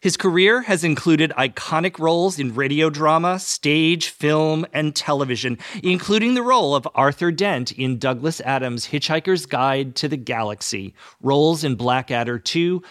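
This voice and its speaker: A man's voice: a little high, normal speed, hardly any pauses, a bit bright, no room sound, studio quality. A man hosting a podcast, speaking in an effusive, formal way with theatrical phrasing. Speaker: podcast host. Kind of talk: warm-up narration and interview. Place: club library for the talk.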